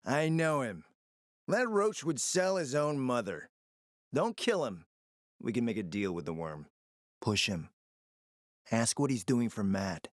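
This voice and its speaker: A man's voice: medium pitch, sing-song, measured pace, long pauses, no echo, studio quality. A man playing a cunning spymaster in a video game, speaking in a theatrical, urgent and sardonic way. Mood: conspiratorial. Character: cunning, theatrical male spymaster scheming over a radio. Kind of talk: dramatic video game voice acting.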